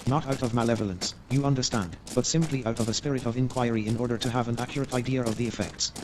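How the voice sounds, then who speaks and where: An actor playing a courteous, gossipy Victorian gentleman in a staged radio play, formal and measured in his hesitant explanations. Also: mid-range voice, steady tone, noisy background, close to an everyday voice. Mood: uneasy, explanatory.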